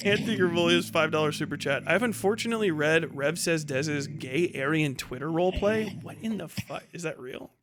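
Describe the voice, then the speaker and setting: High-pitched, blunt American man ranting. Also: animated, fairly quick, few pauses, background noise. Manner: blunt, profane, exasperated; argumentative, emphatic repetition. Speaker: livestream host. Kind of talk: casual unscripted livestream rant, answering chat.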